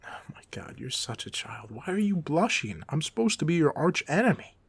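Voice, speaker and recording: A man playing a goofy, soft-hearted supervillain off duty in an audio roleplay, talking casually with teasing, self-deprecating humor. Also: mid-range voice, moderate pace, gravelly, slightly rough, no echo. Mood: teasing, amused. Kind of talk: ASMR roleplay monologue, casual conversational acting.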